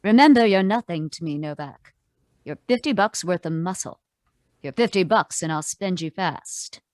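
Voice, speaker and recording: A woman's voice: on the low side, lively intonation, moderate pace, darkish tone, no echo, natural voice. A woman playing a hard, threatening femme fatale in 1940s radio noir style, with cutting, tough talk. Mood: cold, threatening.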